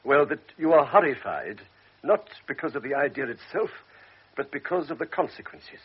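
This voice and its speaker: An actor playing a calm, authoritative older doctor in a radio drama, speaking in a measured, persuasive way. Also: male voice, fairly high pitch, slightly rough. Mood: grave. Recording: muffled old radio recording.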